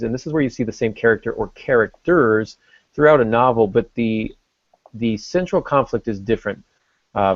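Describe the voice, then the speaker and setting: A man's voice: lowish voice, gravelly, no echo. A man co-hosting a casual podcast discussion, explaining things as he thinks them through. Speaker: podcast co-host. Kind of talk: casual discussion of fiction writing. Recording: dull lo-fi podcast audio.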